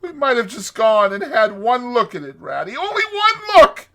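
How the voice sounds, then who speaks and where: A man voicing a tearful, homesick little mole who sobs and pleads haltingly in a dramatized storybook reading. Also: extremely high pitch, sing-song, sharp tone, pitched above the performer's own voice, exaggerated voice. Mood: sobbing, reproachful. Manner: sobbing, halting, plaintive delivery. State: crying.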